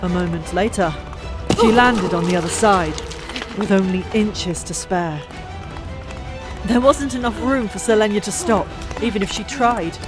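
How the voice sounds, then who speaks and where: Expressive audiobook narrator over music. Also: mid-range voice. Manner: expressive, dramatic delivery with drawn-out emphatic pauses. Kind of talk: dramatic scripted audiobook narration. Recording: old mic, background music.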